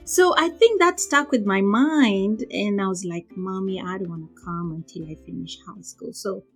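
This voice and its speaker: Kenyan woman in her forties, husky. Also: mid-range voice, moderate pace, faint music behind. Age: 40s. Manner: chatty storyteller, laughs often. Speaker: guest. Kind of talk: casual interview conversation.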